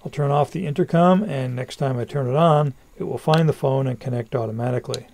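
A man's voice: medium pitch, fairly quick, creaky, darkish tone, soft background music. A man presenting a scripted product review as a voice-over narration.

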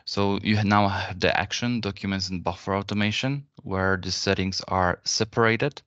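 Low-voiced man presenting a software demo. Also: fairly even intonation, no room sound. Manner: halting, unscripted, step-by-step explaining. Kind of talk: webinar software demo, explanatory. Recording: dull, lo-fi online webinar audio.

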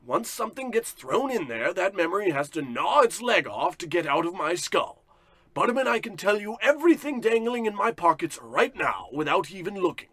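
A man's voice: high voice, sing-song, moderate pace, a bit bright, full character voice. A man playing a pompous, boastful tycoon and bullying boss in a bombastic old-radio parody style. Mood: bragging. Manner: bombastic, self-important.

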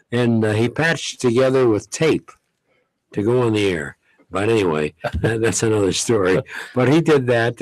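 A man's voice: mid-range voice, a little mellow, dry recording, low-quality recording. An older man, a longtime radio host, unhurriedly reminiscing in casual talk at a studio microphone. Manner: unhurried storyteller, chuckles while recalling. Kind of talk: relaxed reminiscing conversation. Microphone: studio condenser mic on boom.

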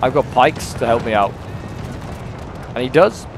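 A man commentating a game battle replay in a casual, rambling way, his voice a bit hoarse from a cold. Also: mid-range voice, unhurried, background noise. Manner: rambling, with frequent fillers and restarts. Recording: loud game music underneath. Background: loud game battle music and gunfire.

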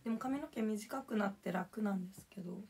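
Young female streamer, voice hoarse, casual chatty delivery, reads viewer names out between topics. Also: mid-range voice, a little fast, a little mellow, slight background noise. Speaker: livestreamer. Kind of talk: casual live stream chat, thanking viewers for gifts.

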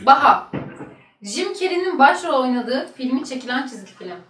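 A woman's voice: high voice, very animated, normal speed, noisy background. A woman reading quiz questions aloud as the question reader. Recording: echoey room, distant mic.

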